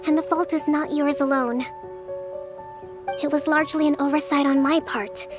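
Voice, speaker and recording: A woman acts as a young priestess who leads an army in a video game. Her voice is high, gentle yet firm, with formal, measured phrasing. Music plays behind her. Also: steady tone, close to an everyday voice. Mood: stern, composed.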